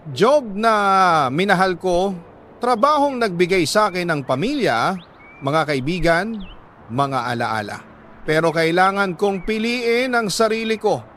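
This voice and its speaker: A man with a Filipino accent reading a dramatic love story as a radio storyteller, solemn and heartfelt, pausing between short phrases. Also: high voice, a bit bright, a little hiss or hum.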